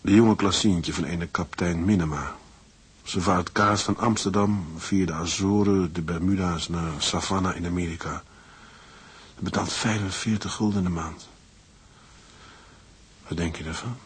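An actor playing an earnest, determined young ship's mate in a radio drama, speaking plainly and hesitantly in a very husky, breathy voice. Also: male voice, deep voice, fairly even intonation, moderate pace. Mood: earnest persuasion. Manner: hesitant, intimate, plain-spoken.

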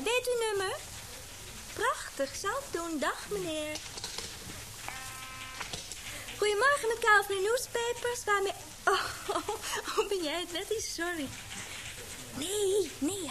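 Lady playing chatty receptionist girl in a radio play, really high voice, polite, gossipy, noisy recording. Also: animated, moderate pace. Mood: courteous. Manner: courteous, chatty. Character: chirpy, gossipy young female newspaper receptionist. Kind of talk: Dutch radio play acting.

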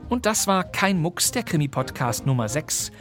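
A man's voice: a little high, sing-song, moderate pace. A man hosting a crime podcast, presenting radio plays from a script. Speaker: podcast host. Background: music.

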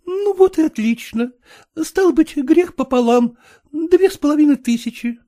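A man's voice: very high voice, animated, moderate pace, dark tone, voice pushed up. A man doing a bossy, teasing young lady. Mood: teasing scolding. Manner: scolding, teasing.

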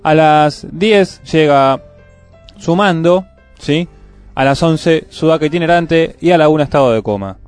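Slightly high-voiced Argentine man on radio. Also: a little slow, smooth, faint music behind. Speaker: radio co-host. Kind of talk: casual community radio presenting.

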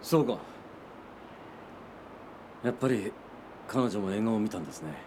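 An actor playing a serious police detective in a radio drama, terse and dramatic. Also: male voice, on the low side, expressive, moderate pace, darkish tone, a little hiss or hum, lightly acted. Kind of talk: Japanese radio drama acting.

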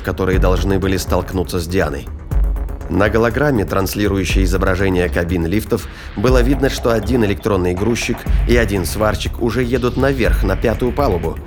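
Low-voiced male narrator over prominent music. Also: a little fast. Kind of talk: scripted fiction narration. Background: dramatic music, battle sound effects.

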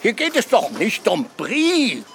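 A man's voice: high voice, huge pitch swings, takes it slowly, fairly bright tone, slight background noise, heavily acted. An actor in a radio drama playing a nagging, blunt older man who grumbles and mocks. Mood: grumbling, exasperated. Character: grumbling, nagging old friend, mocking and blunt.

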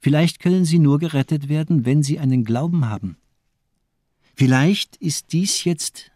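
A man's voice: on the high side, darkish tone, no echo, clean studio sound. A man acting in a radio drama as a fanatical, mocking doctor, declaiming with insistent, rhetorical force. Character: fanatical, mocking villainous male doctor, zealously demanding.

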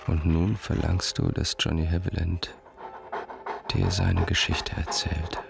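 An actor playing a sinister, possessive man intoning slowly and hypnotically in a radio thriller. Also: rumbling low, big pitch swings, creaky, music in the background, heavily acted. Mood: menacing, hypnotic. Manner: slow, intoning, deliberate. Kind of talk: German radio thriller acting.

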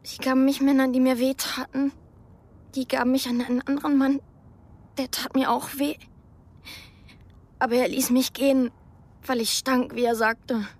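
An actress playing a maimed young Afghan woman in a radio drama, speaking in short, simple, halting sentences with a husky, breathy voice. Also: high voice, level tone, a little mellow. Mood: wounded, matter-of-fact. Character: maimed young Afghan woman, hushed, plain-spoken survivor.

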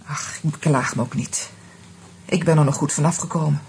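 An actress playing a bitter, independent divorced wife in a radio play, speaking in a measured, pointed way. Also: female voice, low-pitched, steady tone, darkish tone, slight background noise. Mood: defiant, composed. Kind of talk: naturalistic radio drama dialogue.

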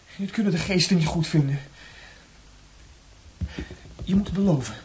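An actor playing a troubled, earnest young man in a radio drama, speaking in a halting, husky way. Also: high-pitched, darkish tone. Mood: agitated, stern. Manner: hesitant, halting.

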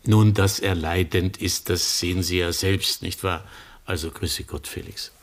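An actor playing a resigned family doctor in a German radio drama, speaking with restrained, formal, evasive courtesy. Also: male voice, low voice, steady tone, unhurried. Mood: resigned, guarded.

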